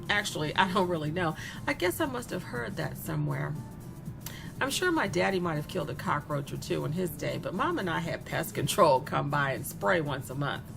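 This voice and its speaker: Woman acting a flippant, gleeful young killer, animated. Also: lowish voice, creaky. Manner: conversational, flippant, giggly, with drawn-out emphasis. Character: gleeful remorseless young female serial killer, vain and chatty. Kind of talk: dramatic first-person monologue in a horror audio story. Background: music.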